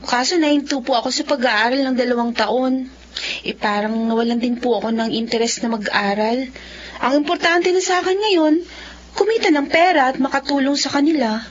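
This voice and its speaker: Young daughter role, acted by a woman: high voice, humble, polite, radio drama, muffled sound. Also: normal speed, slight background noise, close to an everyday voice. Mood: shy, hesitant. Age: young adult. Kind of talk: Filipino radio drama acting.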